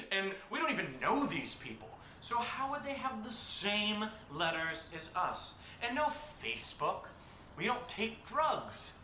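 Actor doing fed up sarcastic young guy, bright swoopy voice, ranting and swearing, audio drama, echoey with faint hiss. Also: sits high, full character voice. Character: agitated, sarcastic young man fed up with paranormal events. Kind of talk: audio drama acting as a livestream rant.

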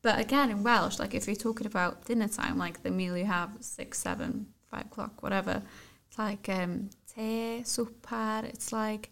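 A woman co-hosting a podcast, her voice carrying some vocal fry. She interviews with warm enthusiasm and encourages her guest. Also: mid-range voice, fairly even intonation, normal speed, hardly any pauses. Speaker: podcast co-host. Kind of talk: casual podcast chat.

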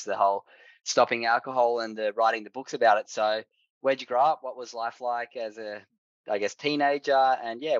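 Man, podcast host, low voice, dry dull recording. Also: dry recording. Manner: friendly, enthusiastic, rambling questions. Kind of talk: podcast interview.

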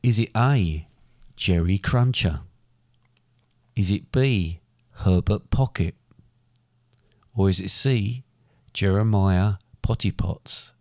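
A man hosting a casual podcast quiz, reading questions out slowly and deliberately while losing his voice and clearing his throat. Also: deep voice, a little mellow, dry recording, studio quality. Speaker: podcast co-host running a quiz.

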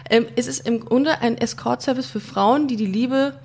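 Plaudernde, witzelnde Podcast-Moderatorin. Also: female voice, fairly high pitch, moderate pace, a little mellow. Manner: chatty and jokey, with frequent fillers and restarts. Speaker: podcast host. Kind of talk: casual interview chat.

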